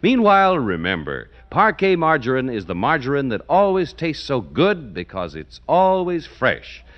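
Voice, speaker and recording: A man announcing a 1940s radio show and reading its sponsor ad in a booming, theatrical style. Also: wildly swooping pitch, moderate pace, lo-fi sound.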